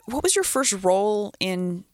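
A woman hosting a podcast, asking interview questions, reading introductions, and reading an ad in an upbeat, quick-reacting way. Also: medium pitch, sing-song, soft background music. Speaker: podcast host.

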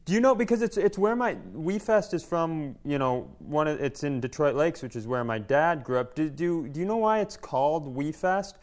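A man hosting a comedy podcast in casual banter, sardonic and quick to swear. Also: a little high, animated, a little mellow.

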